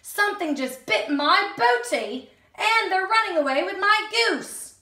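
A woman's voice: very high voice, lively intonation, measured pace, bright, forceful tone, recorded from a distance, voice pushed up, full character voice. A woman voicing an angry, blustering male giant from a children's storybook. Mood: outraged. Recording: echoey room sound.

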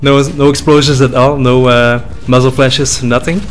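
A man's voice: mid-range voice, flat delivery, moderate pace, soft background music. A young man with a Dutch-speaking Belgian accent, joking and laughing while reacting to his old videos at a desk mic in a small room. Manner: laughing, joking, loose and profane. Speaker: YouTuber. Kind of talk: reaction commentary. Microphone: desk boom mic with headphones.

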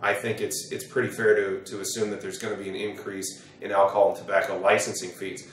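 A middle-aged American man, an attorney explaining to camera in a measured, wordy way in an office. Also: lowish voice, flat delivery. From United States. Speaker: attorney, presenter. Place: small wood-panelled office, indoors. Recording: roomy, echoing sound from a distance.

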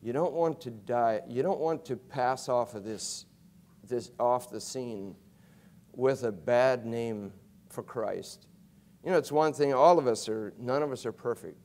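A middle-aged man preaching a chapel sermon from a pulpit, going from soft and low to loud and emphatic, often restarting his words. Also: measured pace. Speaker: guest preacher. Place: college chapel stage behind a pulpit. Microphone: pulpit mic, wide fixed camera.

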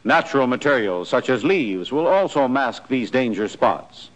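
An American man narrating a 1940s military training film in a scripted, instructive style, with clear imperative delivery. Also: medium pitch, lively intonation, moderate pace, dull audio. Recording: noisy 1940s film soundtrack.

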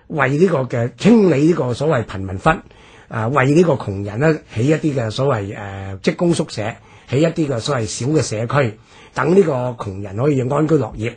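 A man's voice: medium pitch, lively intonation, fairly quick, darkish tone, breathy edge. A man with a Hong Kong Cantonese accent giving explanatory commentary in a radio discussion.

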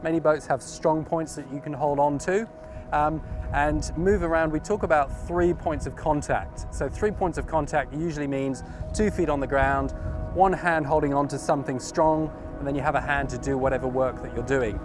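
A man's voice: fairly high pitch, normal speed. A man in his thirties giving an informal, step-by-step instructional demonstration outdoors, explaining as he goes into a clip-on mic. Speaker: sailing instructor. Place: outdoors at a marina, on a yacht deck and dock. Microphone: clip-on lapel mic. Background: music.

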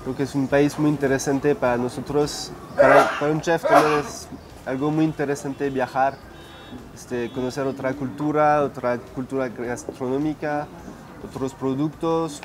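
A man's voice: mid-range voice, steady tone, a little slow. A man in his twenties from France speaking Spanish with a French accent, answering interview questions as a chef, hesitant with many fillers. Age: 20s. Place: restaurant dining room, indoors. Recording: noisy restaurant ambience.

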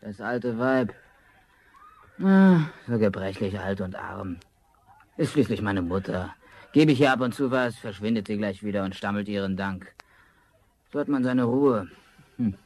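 A man's voice: on the low side, animated, a little slow, long pauses, darkish tone. An actor playing a cold-hearted, greedy rich young man in a German fairy-tale radio play, with theatrical delivery. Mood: dismissive, imperious.

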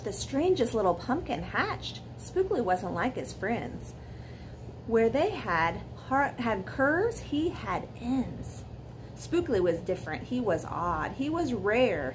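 High-voiced woman reading a bedtime story, noisy recording. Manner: expressive, dramatic emphasis with questions to young listeners. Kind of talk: read-aloud children's bedtime story with asides. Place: living room at home beside a fireplace. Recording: clear background noise, room ambience.

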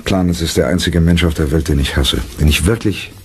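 Vengeful theatre director, radio drama, very deep voice, gravelly, brooding, slight noise. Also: male voice, a little fast. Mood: bitter hatred. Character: bitter, vengeful one-armed army theatre director. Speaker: actor in a radio crime drama. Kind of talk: German radio drama acting. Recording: faint background noise, old radio play.

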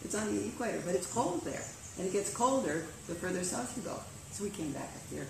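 An older woman telling cruising stories in a lively way, with easy laughs. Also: medium pitch, fast, creaky. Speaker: cruising rally organizer. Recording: echoey, distant, noisy veranda.

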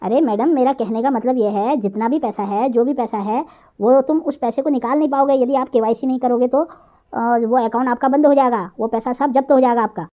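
A woman's voice: on the high side, fairly quick, dark tone, lightly acted. A woman voicing a pushy male phone scammer who poses as an officious bank manager in a comic cartoon. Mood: persuasive, pressing. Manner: persistent, wheedling, officious.

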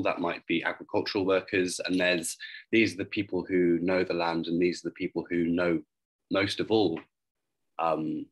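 A young man from Wales speaking haltingly and thoughtfully on a video-call panel. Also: low voice, fairly bright tone, low-quality recording. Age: young adult. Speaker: artist on a discussion panel. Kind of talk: reflective panel talk. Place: small room at home, video call.

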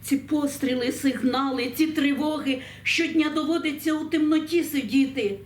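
An echoey, somewhat distant recording of a woman reciting poetry as a programme presenter. Her voice is on the high side, and she speaks very slowly and solemnly, setting out each phrase with deliberate, expressive pauses. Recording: echoey room, distant mic, faint noise.

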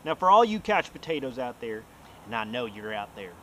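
A man's voice: medium pitch, brisk, slight background noise. A man, talking casually and energetically to the camera outdoors by a bay. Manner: energetic, loose, swears casually. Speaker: vlog host.

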